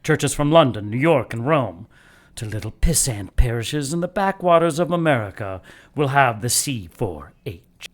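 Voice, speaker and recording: An actor playing a fanatical cult leader in an audio drama, lecturing in a measured, contemptuous and gloating way. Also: male voice, mid-range voice, lively intonation, lightly acted. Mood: smug contempt. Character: fanatical cult leader brother plotting apocalypse, calm and contemptuous. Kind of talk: audio drama villain monologue.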